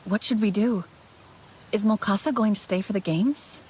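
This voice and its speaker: A woman playing an earnest, friendly young alien heroine in a video game, speaking in a sincere, gentle way. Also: medium pitch, normal speed, a little hiss or hum, lightly acted. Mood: curious, friendly. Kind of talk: video game dialogue acting.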